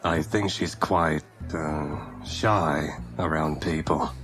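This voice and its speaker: Man playing a very deep, slow, gruff barkeep. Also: fairly even intonation, a bit bright, soft background music, full character voice. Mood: wry, hesitant. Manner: dry, curt, grudging. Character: gruff, dry, grumbling male fantasy barkeep. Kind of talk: audio drama acting.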